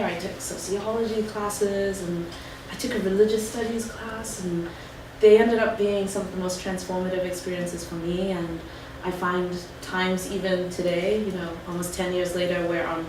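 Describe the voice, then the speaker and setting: Woman panelist, chatty, fillers, roomy echo, mic far off. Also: fairly low pitch. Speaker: alumna panelist. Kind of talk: casual career advice on a panel. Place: lecture room. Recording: echoey room, distant mic, background noise.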